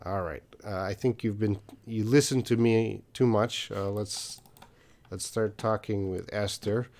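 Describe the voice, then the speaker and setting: A man's voice: lowish voice, fairly even intonation, measured pace, a little mellow. A man hosting a live-stream English Q&A, reading out viewer comments in a casual, hesitant way with many fillers. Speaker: host of English lessons.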